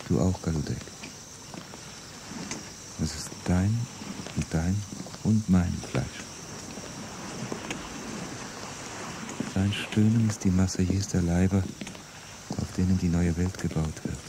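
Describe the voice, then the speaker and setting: An actor playing a grim, fanatical revolutionary in a German radio drama, speaking in grave, incantatory lines. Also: male voice, low-pitched, unhurried, long pauses, noisy background.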